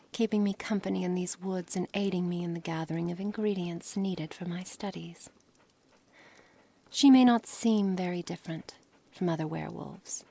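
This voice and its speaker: A woman playing a coolly menacing, scholarly witch in an ASMR roleplay, speaking softly in formal, measured words with breathy, hushed passages. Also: fairly low pitch, a little hiss or hum. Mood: fondly proud. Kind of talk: ASMR roleplay dramatic monologue.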